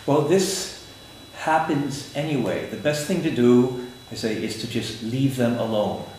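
An older man, Swiss-born and raised in the United States, answering interview questions in a measured, explanatory way with some dry humor, in an office. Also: medium pitch, a little mellow, a little hiss or hum. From Switzerland, raised in the United States. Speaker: interview guest, agile consultant. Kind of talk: conference interview. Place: office room, indoors. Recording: echoey room with faint ambience.

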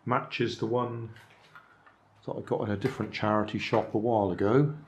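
An older man talks through his latest charity shop finds in a dry, musing way, with plenty of asides. His voice sits on the low side, and a faint noise runs under the recording. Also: steady tone, normal speed. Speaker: vlog host reviewing charity shop finds. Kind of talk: casual show-and-tell narration. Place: indoors at a table, hands on camera.

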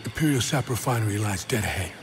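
An actor playing a middle-aged rebel leader in a video game, terse, fierce and authoritative. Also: male voice, on the low side, animated, quick pace, noisy background. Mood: businesslike, urgent. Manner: terse, authoritative, declamatory when rallying. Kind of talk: cinematic video game acting.

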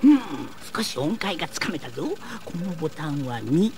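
A man's voice: fairly high pitch, sing-song, normal speed, a little mellow, soft background music. An actor playing a mischievous, eccentric old man in a radio drama, playful and gleeful. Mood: musing, playful. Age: older. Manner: playful, cackling.

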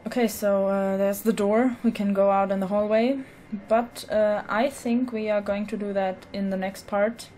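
A woman hosting a casual let's play, commenting in a hesitant, low-key way. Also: fairly even intonation, normal speed, hardly any pauses, dark tone, slight background noise. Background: video game ambience.

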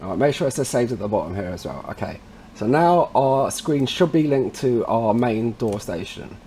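A man presenting a casual step-by-step software tutorial in an informal way, addressing viewers as guys. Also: medium pitch, animated, normal speed, a little hiss or hum. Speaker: tutorial presenter, installer trade.

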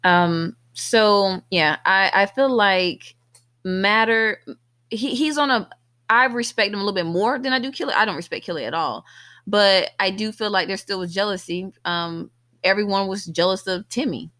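A woman's voice: mid-range voice, normal speed, bright, forceful tone, no echo, studio quality. A woman co-hosting a movie podcast, chatting casually and giving her opinions on a film. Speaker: podcast co-host.

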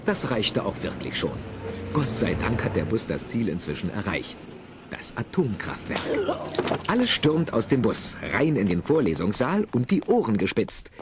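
A man's voice: fairly low pitch, big pitch swings, normal speed, noisy background. A man narrating a scripted children's audio play theatrically, with conversational asides to the listeners.

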